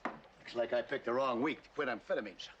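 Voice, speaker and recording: A man playing a gruff, harried older airport supervisor in a film comedy, deadpan and clipped. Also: medium pitch, fairly quick, muffled recording, noisy background, natural voice. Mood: tense.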